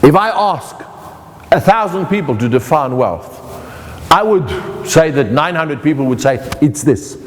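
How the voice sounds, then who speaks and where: A man's voice: a little high, animated, a little slow, long reverb tail. A middle-aged man with a South African accent, teaching an online motivational course in a playful way that speaks straight to the viewer.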